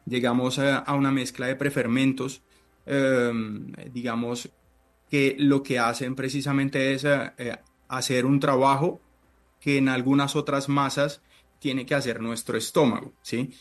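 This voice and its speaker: A middle-aged chef from Italy, Italian by birth, answers questions slowly and haltingly. He keeps pausing on fillers and restarting his sentences as he explains his cooking. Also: mid-range voice, little pitch movement. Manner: hesitant, many fillers and restarts. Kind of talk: interview answers.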